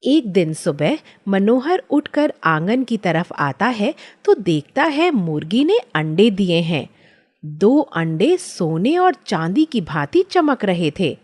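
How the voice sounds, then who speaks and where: Slow, expressive female story narrator. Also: mid-range voice. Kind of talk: scripted children's story narration.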